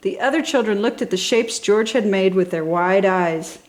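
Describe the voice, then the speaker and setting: A woman's voice: mid-range voice, normal speed. A woman reading a children's storybook with enthusiastic, exaggerated emphasis for young listeners. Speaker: storybook reader for a toddler channel. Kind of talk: expressive scripted children's story narration.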